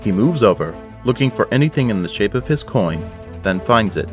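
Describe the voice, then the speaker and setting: A man narrates an audio drama in a deep voice. His delivery is scripted and dramatic, set over prominent background music. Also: lively intonation, normal speed.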